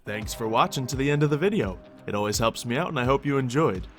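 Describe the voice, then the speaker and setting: A man's voice: mid-range voice, lively intonation, fast talker, a bit bright, soft background music. A man speaking as a video game YouTuber in a casual outro addressed to his viewers.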